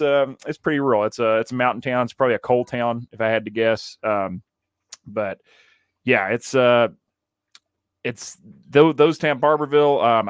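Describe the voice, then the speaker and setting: A man talks in a loose, rambling way on a podcast, swearing casually as he goes. His voice sits at an ordinary middle pitch. The close mic sounds dry, with no room in it. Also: smooth, no room sound. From Kentucky, USA. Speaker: podcast co-host. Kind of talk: casual chat.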